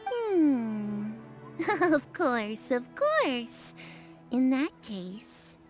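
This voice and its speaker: A woman's voice: sits high, wildly swooping pitch, heavily acted. A woman voicing a theatrical, solemn fortune teller in a video game, with pompous, old-fashioned delivery. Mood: mystical. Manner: pompous, archaic, drawn-out delivery. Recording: clear music behind the voice.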